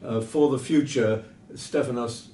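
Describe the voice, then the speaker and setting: A man giving off-the-cuff tennis analysis, stating emphatic opinions in measured short phrases. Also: dark tone. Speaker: tennis pundit. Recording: roomy, distant mic with background rumble.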